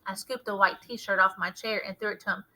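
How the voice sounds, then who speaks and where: A woman reading a children's novel aloud like a teacher, with animated, dramatic storytelling. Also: mid-range voice, fairly quick, rough recording. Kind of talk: expressive storybook narration.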